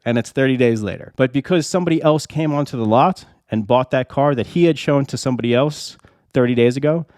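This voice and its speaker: A man's voice: medium pitch, darkish tone, no echo. A man with an ordinary mid-range voice who is a little under the weather, so his voice sounds slightly off. He talks casually to his viewers and works through a long made-up example, asking rhetorical questions and repeating himself. The sound is dry and close, with no room in it. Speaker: reseller channel host. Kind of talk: casual explainer to camera.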